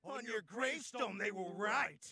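An actor voicing a loud, bombastic fighter in an animated parody dub, shouting theatrically. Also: medium pitch, unhurried, a crowd in the background, natural voice.

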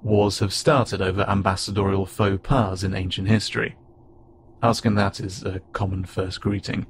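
Man playing a gravelly, casual alien spacefarer. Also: deep voice, steady tone, noisy background. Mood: curious, awkward. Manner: casual, halting, gently wry. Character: friendly alien spacefarer with antennae, curious, protective, a bit awkward. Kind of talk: conversational audio roleplay acting to an unheard listener.

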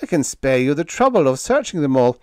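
A man's voice: medium pitch, wildly swooping pitch, normal speed, dry recording, clean studio sound, pitched above the performer's own voice. A man voicing a gangly, nervous and earnest spaceship lieutenant, faltering and pleading in a pulp space story.

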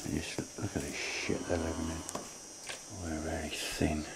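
Hushed, deep-voiced man whispering. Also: monotone, normal speed. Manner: low, often whispered, blunt and swearing. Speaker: undercover animal welfare investigator. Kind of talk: hushed running commentary while filming. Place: dark farm barns at night. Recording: noisy handheld camcorder audio.

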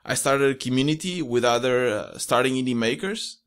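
Young male podcast guest, Portuguese accent, mid-pitched voice, casual conversational delivery, close mic. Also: brisk, fairly bright tone, clean tone. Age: young adult. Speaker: podcast guest, indie entrepreneur. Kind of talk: casual interview chat. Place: small room at home. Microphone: close studio mic with pop filter.

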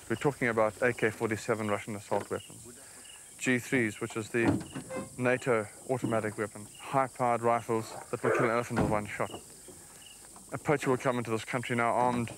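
A man's voice: medium pitch, background noise. A man with a mid-range voice speaks firmly and steadily, explaining like an official on the record. He is outdoors in the bush, with faint insects and crickets audible behind him. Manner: firm, explanatory. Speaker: wildlife official. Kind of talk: documentary interview.

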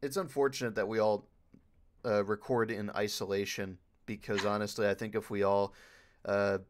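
A man's voice: lowish voice, flat delivery, normal speed, slight background noise. A man talking casually as a panelist during a question-and-answer session. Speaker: panelist, dubbing group member.

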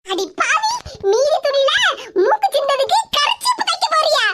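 A woman playing a quick-tempered older mother-in-law who scolds sharply. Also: very high voice, very animated, a little hiss or hum, exaggerated voice. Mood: indignant. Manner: sharp, scolding, fast-talking.

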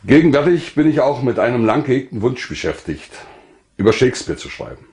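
A man's voice: mid-range voice, very animated, brisk, a little mellow. An older man, an author, giving a scripted presentation of his own work and reading from it.

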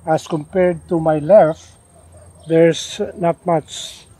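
Slow-talking older man, mellow voice. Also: a little high, level tone, slightly rough, no echo. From Philippines. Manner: unhurried, many "uh" fillers and restarts. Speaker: denim collector reviewing his jacket. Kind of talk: casual show-and-tell. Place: outdoors in a leafy garden.

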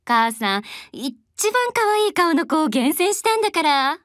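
A woman's voice: high-pitched, big pitch swings, a little slow, a bit bright, dry recording, studio quality, full character voice. A woman voicing a cheerful, doting young mother in an audio drama, coaxing and affectionate as she talks to her little son. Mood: playful, coaxing. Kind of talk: Japanese anime-style audio drama acting.

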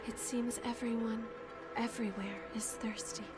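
Woman as a mysterious young game heroine, soft, serene, detached, flat intonation, music behind. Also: on the high side, normal speed, close to an everyday voice. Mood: hushed, solemn. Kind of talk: English video game dub acting.

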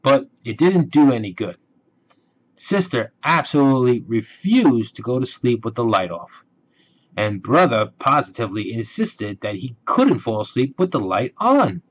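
Male storybook narrator. Unhurried, gentle and very expressive, on a slightly dull recording. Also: mid-range voice. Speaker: children's storybook reader.

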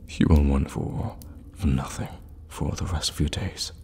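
A man playing a seductive, menacing evil warlock in an ASMR roleplay, teasing in a breathy murmur with halting pauses. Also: steady tone, mellow, a little hiss or hum, exaggerated voice. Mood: possessive, soothing. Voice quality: breathy low murmur, often whispering. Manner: slow, teasing, halting for effect. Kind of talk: intimate ASMR roleplay acting. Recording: long reverberant cave-like echo.

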